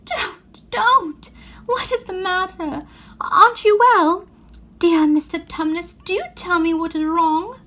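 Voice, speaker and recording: Woman voicing an earnest little girl, high-pitched. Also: takes it slowly, bright, forceful tone, heavily acted. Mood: distressed. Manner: pleading, exclaiming. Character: Lucy, earnest, anxious, kind little girl.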